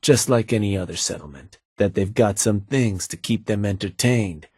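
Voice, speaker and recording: An actor playing a calm, seasoned male raider advisor who talks in an easygoing, folksy drawl. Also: on the low side, moderate pace, husky, no echo. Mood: steady. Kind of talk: game voice acting. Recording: dry studio recording.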